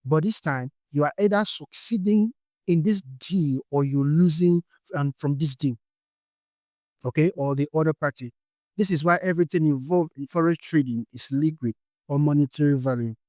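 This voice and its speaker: A man's voice: on the high side, moderate pace, darkish tone, dry recording. A Nigerian man with a Nigerian accent, teaching a beginners' course in a step-by-step way and checking in with "okay?" after his points. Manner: explains step by step, tags lines with "okay?". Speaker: forex trading instructor. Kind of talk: explanatory course lesson.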